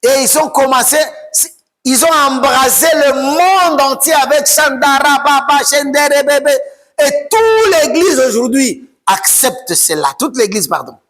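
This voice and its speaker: A man preaching a sermon as pastor, shouting and sing-song, repeating phrases over and over. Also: very high-pitched, expressive, a little slow. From Democratic Republic of the Congo.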